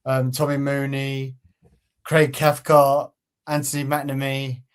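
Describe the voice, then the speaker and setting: A man's voice: medium pitch, completely flat delivery, clean tone. Easygoing man in fan chat. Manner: easygoing, fills pauses with um. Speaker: co-host of a football fan channel. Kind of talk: casual podcast chat.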